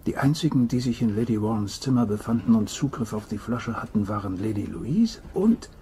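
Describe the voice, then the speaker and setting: An actor playing a suspicious, brooding manservant in a mystery radio play, speaking in a conspiratorial, deliberate way. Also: male voice, lowish voice, lively intonation, mellow, a little hiss or hum. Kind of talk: German radio play acting.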